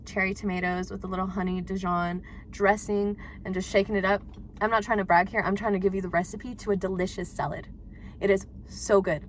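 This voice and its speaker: A woman in her twenties talking casually to her viewers, chatty and quick to laugh. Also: medium pitch, a little hiss or hum. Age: 20s. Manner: chatty, laughs often, animated. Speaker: vlogger. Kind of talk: casual talk to camera.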